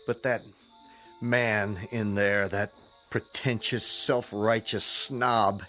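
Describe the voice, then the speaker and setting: An actor playing a humble, lovesick young man in a radio drama, halting and pleading. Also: on the low side, little pitch movement, bright, forceful tone, faint music behind. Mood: worried, bitter. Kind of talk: dramatic radio play acting.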